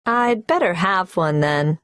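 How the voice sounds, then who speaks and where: A careful young applicant. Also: female voice, lowish voice, lively intonation, a bit bright, dry recording, clean studio sound. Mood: polite. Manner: careful, spells out numbers and names slowly. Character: young woman applicant, unmarried, polite and careful. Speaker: applicant for a tax number. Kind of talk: scripted exam listening dialogue.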